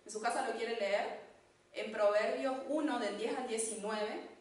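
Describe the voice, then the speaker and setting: Argentine lady teaching at church, kinda high voice, far from the mic, big echo. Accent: Argentine Spanish. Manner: unhurried, with frequent fillers and restarts. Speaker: church speaker. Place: church hall. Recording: echoey hall, distant mic, faint noise.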